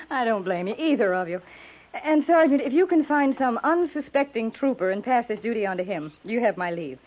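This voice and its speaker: A woman's voice: a little high, expressive, normal speed. A woman playing a good-humored, self-mocking frontier lady in a 1950s radio drama. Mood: wry. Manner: self-mocking, teasing, poised. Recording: tinny, noisy 1950s radio recording.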